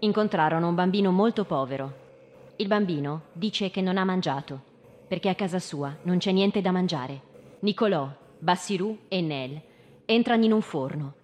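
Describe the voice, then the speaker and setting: An actress playing a stern, insistent storyteller who questions children in a live radio drama. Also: female voice, on the low side, lo-fi sound, natural voice. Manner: short, pressing questions. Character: stern adult woman storyteller interrogating children, insistent and accusing. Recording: very reverberant hall, music behind.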